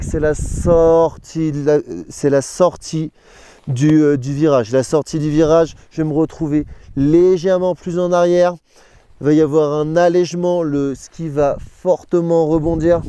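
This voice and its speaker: A man's voice: fairly high pitch, dark tone, smooth. A man in his thirties, standing on a snowy mountain slope, explaining ski technique step by step as an instructor, with a clip-on mic. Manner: explains step by step, in first person.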